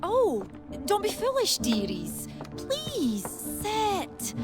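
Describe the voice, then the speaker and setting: Game role: effusive, brash tavern keeper, very high register, highly animated delivery. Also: female voice, very slow, loud music behind the voice, full character voice. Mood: gushing. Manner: fussing, effusive with guests. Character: brash, gushing female colonial tavern proprietor. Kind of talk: video game character acting.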